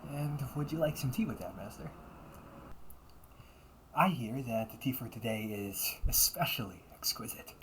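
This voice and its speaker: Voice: medium pitch, normal speed, pauses often, a bit bright, lightly acted, low-quality recording. Flustered delinquent butler-cafe waiter over background noise, acted out. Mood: formally polite. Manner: stiffly formal service politeness, slipping into muttered threats. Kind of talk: amateur audio roleplay.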